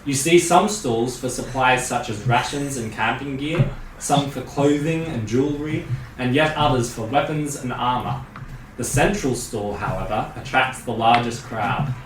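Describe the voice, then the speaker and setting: A man's voice: mid-range voice, normal speed, recorded from a distance. Man, game master, descriptive narration, echoey room, faint background hum. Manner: descriptive storytelling, laughs with players.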